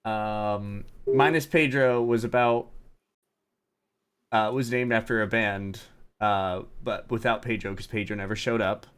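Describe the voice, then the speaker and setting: A man's voice: on the low side, fairly even intonation, unhurried, fairly bright tone, smooth. Self-deprecating man hosting a livestream. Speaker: livestream host. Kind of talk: casual livestream commentary chat.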